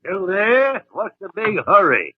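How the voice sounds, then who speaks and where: An actor playing a gruff, teasing older senior doctor in 1950s radio acting. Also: male voice, high-pitched, big pitch swings, unhurried, full character voice. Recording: tinny old radio recording.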